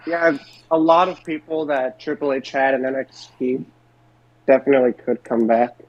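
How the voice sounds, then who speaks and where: A man's voice: mid-range voice, level tone, moderate pace. A man co-hosting a podcast, chatting casually with friends over slightly dull audio. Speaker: podcast co-host. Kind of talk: casual group chat.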